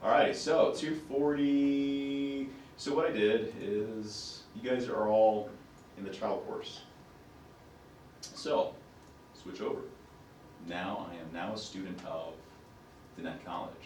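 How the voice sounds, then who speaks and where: A man teaching a class as a professor, his voice raspy right now, talking himself through computer steps. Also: mid-range voice, a little mellow, roomy sound, recorded from a distance, slight background noise, rough recording. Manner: talks to himself while fumbling with the computer. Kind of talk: classroom lecture.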